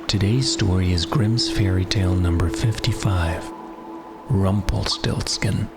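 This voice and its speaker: Low-voiced man narrating a bedtime story. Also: unhurried. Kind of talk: bedtime fairy tale narration, scripted. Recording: music behind the voice. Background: music.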